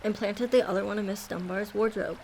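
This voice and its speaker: A woman's voice: mid-range voice, fairly even intonation, brisk, darkish tone, a little hiss or hum, close to an everyday voice. A woman playing a helpful, puzzled, plain-spoken police sergeant with a deferential, folksy manner in a radio drama. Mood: obliging.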